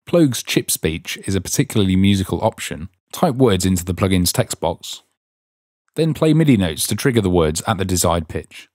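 A man's voice: low-pitched, big pitch swings, normal speed, a little mellow, no echo. A man reading scripted instructional narration as the narrator of a tutorial.

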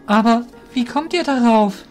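A man voicing an earnest, naive young pupil of mages in a fantasy audio drama, halting and distressed. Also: extremely high pitch, a little mellow, faint music behind, voice pushed up. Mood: puzzled.

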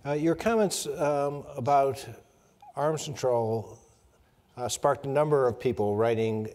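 A man's voice: medium pitch, a little slow, darkish tone. Older man moderating, reverberant sound. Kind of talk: moderating a panel, reading audience questions. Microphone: video call.

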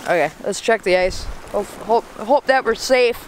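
A man's voice: high voice, moderate pace, a little hiss or hum, rough recording. A young man narrating his fishing trip to viewers in a casual, upbeat way, filming himself with a handheld camera on a frozen lake. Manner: upbeat, addresses viewers as dudes. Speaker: fishing vlogger. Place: outdoors on frozen lake and inside ice shelter. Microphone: handheld vlog camera at arm's length.

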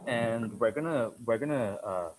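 A man's voice: medium pitch, moderate pace, slight background noise. Easygoing man in his thirties. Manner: easygoing, repeats words while explaining. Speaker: bartender. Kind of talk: cocktail demonstration. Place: dim bar set, indoors.

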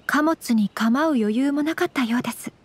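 A woman's voice: high voice, normal speed, husky. A woman playing a poised young noblewoman and swordswoman in an anime game, formal, composed and very polite. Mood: gentle, courteous. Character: poised, courteous young noblewoman swordswoman, gentle but firm. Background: background music.

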